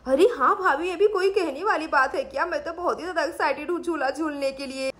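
A woman voicing a proud, excitable young aunt with bubbly chatter in a children's doll story. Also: high-pitched, brisk. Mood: excited.

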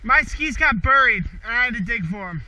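A man's voice: very high voice, animated, brisk, pushed and bright, low-quality recording. A young man chatting and laughing with friends while skiing an outdoor slope, talking into a head-mounted action camera. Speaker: student skier. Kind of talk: casual running commentary while skiing. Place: outdoor ski slope in snowy woods.

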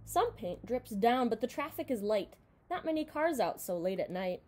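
A young woman reading a children's picture book aloud in an expressive, dramatized way, recorded in a room at home. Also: fairly high pitch, normal speed. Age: young adult. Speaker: storybook reader.

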